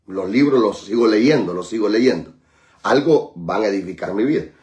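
A man preaching a sermon, calling on the congregation to repeat after him and slowing right down to stress scripture. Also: on the low side, expressive, a little mellow. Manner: preaching with call-and-response, dramatic slowed emphasis on scripture. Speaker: pastor.

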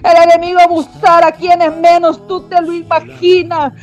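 A woman's voice: very high-pitched, flat delivery, moderate pace, a little mellow. A woman preaching a fervent sermon, often breaking in with "mi amado hermano" and cries of praise. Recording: dull lo-fi sound with faint music behind.

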